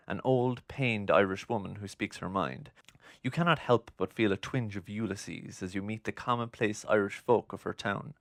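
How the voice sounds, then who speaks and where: A man narrating a scripted documentary in a measured, essay-like delivery. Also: on the low side, gravelly, a bit bright, dry recording, studio quality.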